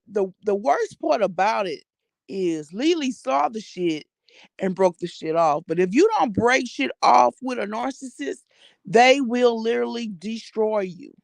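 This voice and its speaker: A woman's voice: a little high, unhurried, gravelly, dry recording. An American woman giving casual gossip commentary, blunt and slangy with plenty of swearing, repeating phrases for emphasis. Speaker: vlogger commenting on social media personalities.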